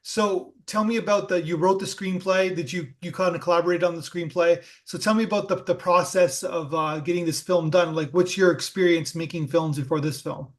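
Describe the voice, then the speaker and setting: A man with a high voice for a man hosts a casual film interview. He circles his questions with many fillers and restarts before getting to the point. His talk is loose and conversational. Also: steady tone, a little fast, few pauses. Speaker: podcast host.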